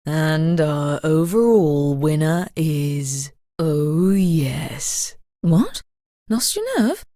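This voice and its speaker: A woman's voice: deep voice, lively intonation, drawn-out words, dark tone, no echo, clean studio sound, heavily acted. A woman playing a sly murder mystery game narrator, speaking in a knowing, teasing, theatrical storyteller's manner. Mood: teasing, commanding.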